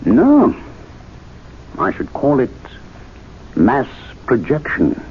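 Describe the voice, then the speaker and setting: A man's voice: medium pitch, huge pitch swings, drawn-out words, exaggerated voice. An actor playing a learned club member who earnestly lectures his fellow members in a radio drama, recorded as a tinny old broadcast with faint hiss. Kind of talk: 1970s radio drama acting.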